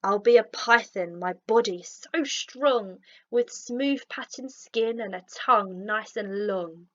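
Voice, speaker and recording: A woman's voice: medium pitch, slow pace, a bit bright, highs cut off. A woman voicing a glum but hopeful young male rhino in a children's storybook, earnest and plaintive. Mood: excited.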